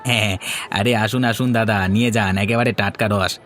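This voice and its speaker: A crafty, coaxing market hawker played by a man in a cartoon. His voice sits fairly low, pushy and wheedling, with faint music underneath. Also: steady tone, fairly quick, a bit bright, natural voice. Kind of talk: cartoon voice acting.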